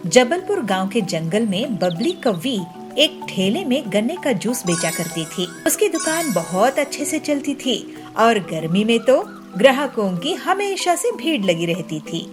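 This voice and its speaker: A woman narrates a scripted story for children. Her voice is on the high side and very animated in its rise and fall. Music plays clearly behind her. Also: measured pace.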